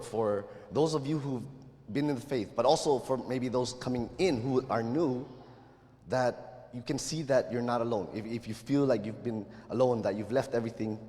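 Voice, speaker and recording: A man preaching a sermon while sick, his voice lower than usual, speaking in halting phrases with repeated words and pausing for an interpreter. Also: a little mellow. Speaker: guest preacher. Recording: reverberant hall.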